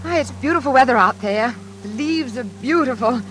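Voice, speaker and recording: A woman playing a nervous, frightened elevator passenger in a classic radio suspense drama, speaking in halting, pleading phrases. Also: fairly high pitch, expressive, over music, natural voice. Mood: uneasy politeness. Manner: halting, anxious, pleading, repeating herself. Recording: hissy, noisy old radio recording.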